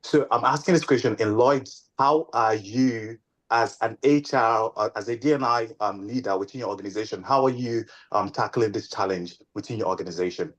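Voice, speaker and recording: A man serving as a panel moderator, asking long questions. He talks haltingly, often restarting and repeating words. His voice sits fairly low.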